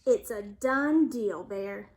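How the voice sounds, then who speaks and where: A woman's voice: medium pitch, takes it slowly, pitched below the performer's own voice, lightly acted. A woman voicing a clever, eager male hare who schemes and persuades in a storybook. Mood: brisk, cheerful. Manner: persuasive, upbeat, salesman-like.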